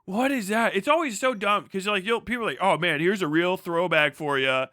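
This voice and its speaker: A man's voice: high voice, a little fast, sharp tone, dry recording, clean studio sound. A man hosting a livestream, rambling casually with plenty of swearing and joking, exaggerated rants. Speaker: livestream host.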